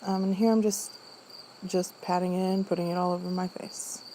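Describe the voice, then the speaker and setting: An unwell woman with throat trouble giving a casual makeup tutorial voice-over in a low-energy, subdued manner. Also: level tone, normal speed, mellow, slight background noise. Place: voice-over recorded apart from the footage.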